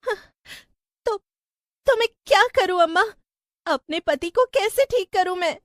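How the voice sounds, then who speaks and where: A woman playing an earnest, plaintive young village wife in an animated story. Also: extremely high pitch, measured pace, dry recording, studio quality. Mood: distressed, determined. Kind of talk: animated Hindi story acting.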